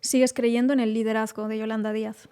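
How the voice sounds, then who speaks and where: Flat-voiced Catalan woman interviewer. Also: fairly high pitch, fast, clear, resonant tone. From Catalonia, Spain. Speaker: interviewer host. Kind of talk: interview questions.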